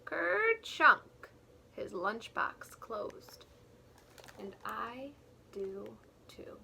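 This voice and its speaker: A woman teacher reading a picture book aloud to young children, expressively and deliberately, pausing to explain things in simple words. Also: a little high, big pitch swings, creaky, background noise. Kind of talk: read-aloud storytelling with explanatory asides.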